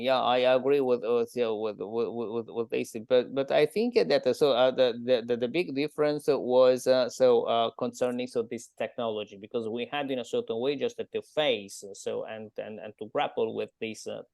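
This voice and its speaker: Italian man on a video call, gravelly voice, halting speech full of restarts. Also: medium pitch, a little mellow, dry recording. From Italy. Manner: halting, many repeated words. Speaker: participant. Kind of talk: group discussion on a video call.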